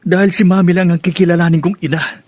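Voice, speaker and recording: An actor playing a resentful, stubborn young adult son in a Filipino radio drama. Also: male voice, high voice, level tone, quick pace, noisy background, close to an everyday voice. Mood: bitter, defiant.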